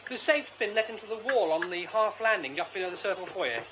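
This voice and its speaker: Casting: courteous, excitable English theatrical gentleman, lively pitch, muffled recording with clear background noise. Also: fast. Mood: eager. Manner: polite, well-spoken, eager. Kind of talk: radio drama acting.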